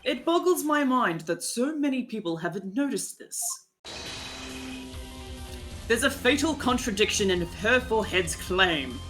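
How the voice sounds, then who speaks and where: A woman's voice: a little high, expressive, a little slow, a bit bright. A woman in an amateur live game dub playing a smug, theatrical prosecutor, pompous and mocking. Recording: game music behind the voice.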